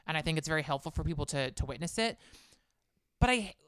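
Opinionated woman co-host ranting fast, smooth clear voice, dry close mic. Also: sits low, lively intonation, no echo. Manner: heated, opinionated, fast rants. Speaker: podcast co-host. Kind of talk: casual chat about reality TV.

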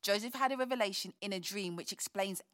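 A woman's voice: mid-range voice, fast, fairly bright tone, no echo, clean studio sound, lightly acted. A woman playing a candid young pregnant student in an audio drama. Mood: upbeat. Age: young adult.

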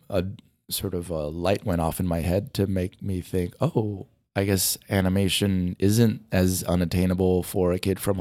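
A man's voice: deep voice, darkish tone, no echo. A middle-aged man from Hawaii who teaches motion design, answering interview questions in a rambling way with many fillers while losing his voice.

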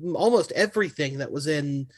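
A man's voice: on the high side, brisk. A man co-hosting a podcast in a casual, analytical discussion. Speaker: podcast co-host.

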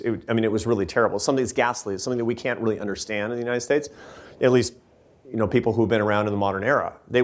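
A man giving earnest, emphatic answers to audience questions after a talk, often restarting his sentences. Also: fairly low pitch. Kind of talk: lecture Q&A answers.

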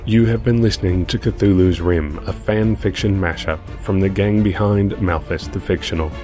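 A man's voice: sits low, fairly even intonation, moderate pace, darkish tone, faint music behind. A man reading scripted closing credits as the show's creator, in a tongue-in-cheek manner. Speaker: writer, director and producer reading closing credits.

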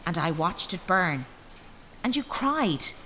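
Intense young daughter, bright-voiced. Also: female voice, fairly low pitch, very animated, slow pace, a little hiss or hum, exaggerated voice, rough recording. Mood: accusing. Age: young adult. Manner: intense, dramatic. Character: young adult daughter, intense, reproachful, wounded.

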